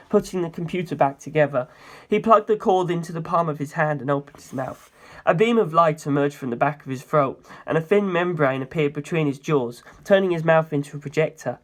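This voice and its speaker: A story reader with a medium-pitched voice narrates first-person fiction. The delivery is scripted narration, read aloud as the main character's own account.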